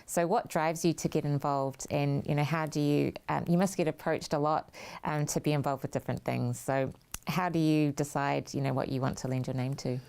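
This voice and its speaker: A woman hosting an interview, asking measured, clearly phrased questions. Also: low voice, steady tone, darkish tone. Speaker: interview host.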